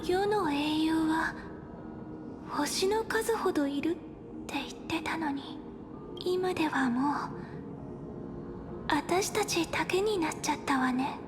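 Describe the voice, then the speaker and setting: A woman voicing a wistful young game heroine facing doom, in a soft, breathy half-whisper. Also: high voice, moderate pace, pauses often, close to an everyday voice. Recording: prominent game music behind.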